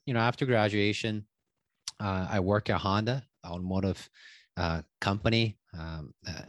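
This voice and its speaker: A man, a guest telling his story in a casual interview, loose and halting with many fillers. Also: low-pitched, no room sound. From Taiwan. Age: 30s. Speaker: guest, stock options coach.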